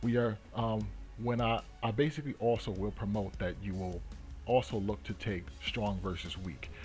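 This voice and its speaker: Deep-voiced man hosting a trading channel. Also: fairly even intonation, a little mellow, faint music behind. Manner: halting, emphatic, repeats points for stress. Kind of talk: casual explanatory commentary.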